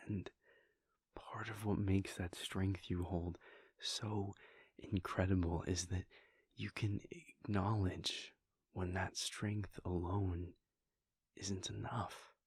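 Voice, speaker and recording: A man voicing a weary, devoted male vampire prince in a soft, intimate whisper, speaking in slow, halting, heartfelt confessions. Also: low-pitched, level tone, breathy edge, no room sound, heavily acted. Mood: tender, hushed. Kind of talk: whispered audio roleplay.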